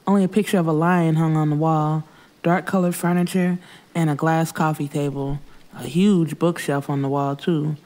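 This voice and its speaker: A woman's voice: sits low, fairly even intonation, moderate pace, dark tone. A woman narrating first-person urban fiction in a casual, frank, streetwise way. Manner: frank, streetwise delivery with swearing. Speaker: audiobook narrator.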